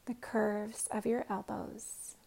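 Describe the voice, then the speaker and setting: Slow, breathy woman guiding meditation. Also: medium pitch, little pitch movement. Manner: slow, soft, often whispered guidance. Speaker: guided meditation teacher.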